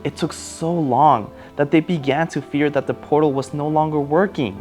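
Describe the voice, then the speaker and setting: A man playing an eager, encouraging schoolteacher who reads fairy tales aloud to children in an animated, coaxing way. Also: a little high, moderate pace, faint music behind, natural voice. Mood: storytelling. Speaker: teacher and storyteller. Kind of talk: children's read-aloud storytelling.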